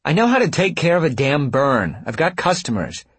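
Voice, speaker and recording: A man's voice: mid-range voice, expressive, moderate pace, breathy edge, no echo, voice pushed up. Audiobook role: male narrator as harried young woman restaurant owner, impatient and snappish, voice lifted well above his own. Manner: impatient, clipped, defensive.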